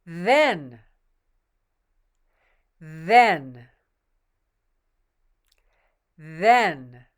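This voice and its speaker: Slow, clear-voiced woman teacher. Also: fairly low pitch, expressive. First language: English. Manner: models each word twice, patient and encouraging. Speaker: pronunciation teacher. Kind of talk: slow, deliberate pronunciation drill.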